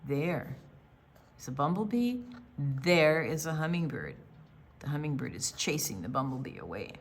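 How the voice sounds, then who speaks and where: An older woman reading a picture book to children as a storytime presenter, with deliberate storyteller phrasing and dramatic pauses. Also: low voice, very animated, soft background music.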